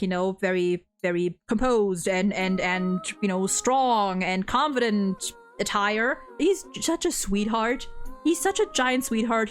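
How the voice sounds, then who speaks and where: A woman chatting casually as host, giving opinionated fan commentary in a rambling, gushing, sweary way. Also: medium pitch. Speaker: host of a game story ranking discussion. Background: background music.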